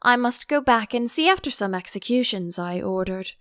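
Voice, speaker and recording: A woman's voice: fairly high pitch, animated, normal speed, fairly bright tone, dry recording, clean studio sound. Woman putting on an imperious, short-tempered storybook Queen. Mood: brisk, commanding. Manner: commanding, curt, emphatic. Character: imperious, quarrelsome Queen who shouts threats of beheading.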